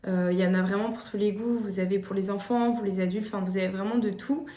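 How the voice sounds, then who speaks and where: A woman's voice: medium pitch, fairly even intonation, fast, warm tone. A young woman vlogging gift ideas in casual chat to the camera, hesitant, with many fillers and restarts. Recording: echoey room.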